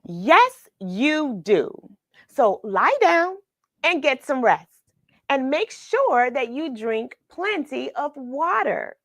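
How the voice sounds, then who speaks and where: A woman telling a children's picture story in an expressive way, pausing for chatty questions to the young listener. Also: high voice, no room sound, studio quality. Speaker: children's read-along storyteller and host. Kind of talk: animated picture-book read-aloud with questions to young listeners.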